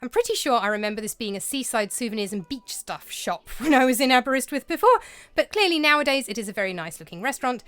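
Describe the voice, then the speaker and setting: A woman's voice: a little high, big pitch swings, fast talker, fairly bright tone, dry recording. A woman narrating her vlog in a scholarly, lightly amused tone, reading a prepared script. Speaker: vlogger and narrator. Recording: dry close-mic voice-over.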